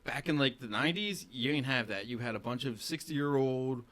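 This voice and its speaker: A man's voice: medium pitch, normal speed, fairly bright tone. A man co-hosting a podcast, giving opinionated casual commentary in an emphatic, slangy and blunt way. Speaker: podcast co-host.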